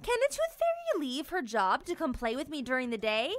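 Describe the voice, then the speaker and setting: Storybook little girl, played by a woman: very high, childlike, imaginative, earnest big sister. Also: huge pitch swings, fairly quick, dry recording. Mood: curious. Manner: earnest, wide-eyed, childlike.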